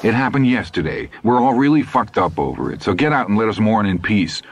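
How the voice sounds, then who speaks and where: A man's voice: lowish voice, expressive, a little fast, highs cut off, voice pushed up. A man reading a novel aloud, voicing a harried male police sergeant who pleads and complains. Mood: exasperated. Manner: pleading, exasperated.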